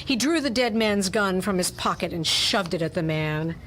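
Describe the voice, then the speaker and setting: A woman's voice: mid-range voice, expressive, moderate pace, a bit bright, slight background noise. A woman reading scripted, dramatic narration for a hardboiled detective radio story.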